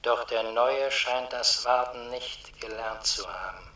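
Echoing, slow, stern underworld gatekeeper. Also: male voice, mid-range voice, pushed and bright, distant mic. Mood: solemn. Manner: slow, measured, imperious. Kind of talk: solemn radio drama declamation. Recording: echoing room, set back from mic.

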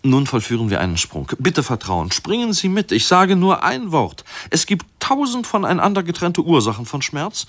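A man's voice: fairly high pitch, big pitch swings, moderate pace, few pauses, a bit bright. An actor playing a pompous, long-winded male medical researcher who lectures didactically in a radio play. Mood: pompous, excited.

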